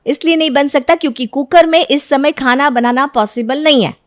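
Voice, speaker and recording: A woman voicing a careless, defensive young wife in an animated story. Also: high voice, brisk, no echo, clean studio sound, pitched above the performer's own voice, lightly acted. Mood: defiant. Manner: defensive, argumentative.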